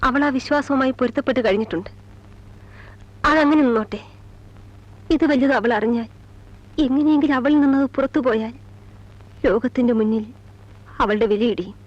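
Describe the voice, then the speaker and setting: Woman acting a high-voiced, strict, anxious mother. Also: brisk, long pauses, close to an everyday voice. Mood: anguished. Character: strict, anxious, wounded mother of a teenage daughter. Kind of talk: Malayalam film drama acting. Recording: dull old film soundtrack with music.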